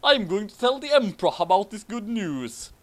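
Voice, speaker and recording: A man's voice: high voice, very animated, moderate pace, a bit bright, voice pushed up. A man voicing a smug, scheming villain prince in a comic story reading.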